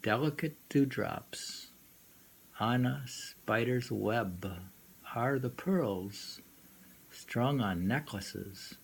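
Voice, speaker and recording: Slow, creaky-voiced man reading poetry. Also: medium pitch. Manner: halting, repeats words and phrases. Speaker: reader and host. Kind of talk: reading poetry aloud with casual commentary. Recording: noisy homemade recording.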